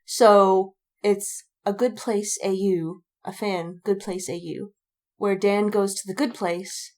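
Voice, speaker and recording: A woman's voice: mid-range voice, steady tone, slow, a little mellow. A woman co-hosting a podcast in casual fandom chat, rambling along and repeating words for emphasis. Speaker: podcast co-host.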